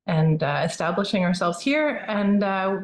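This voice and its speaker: A woman's voice: mid-range voice, measured pace, roomy sound. A woman plastic surgeon raised in Norway, talking easily as an interview guest with lots of fillers and restarts. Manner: relaxed, frequent fillers and restarts. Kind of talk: conversational interview answers.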